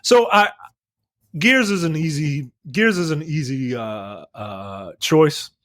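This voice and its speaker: A man chatting casually as a podcast co-host, talking in a halting, rambling, informal way with some swearing. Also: fairly high pitch, lively intonation, a bit bright, dry recording, studio quality. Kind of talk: casual movie and game news chat.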